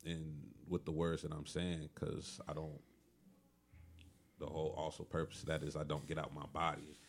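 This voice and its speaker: A young man with a deep voice, talking as a musician guest in a calm, laid-back way. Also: moderate pace, slight background noise. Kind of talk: casual interview chat.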